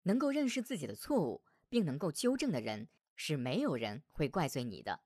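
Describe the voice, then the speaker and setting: A man voicing a stern yet merciful heavenly holy woman in a storybook tale, speaking in a measured, solemn, admonishing way. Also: a little high, big pitch swings, a little mellow, no room sound, studio quality. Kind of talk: storybook character voice.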